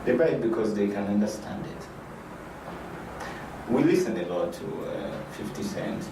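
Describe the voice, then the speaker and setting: Hesitant low-voiced man in an echoey room. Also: expressive, moderate pace. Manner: hesitant, many fillers and restarts. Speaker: director of an arts foundation. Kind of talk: interview answers. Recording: echoey room, mic far off, noisy.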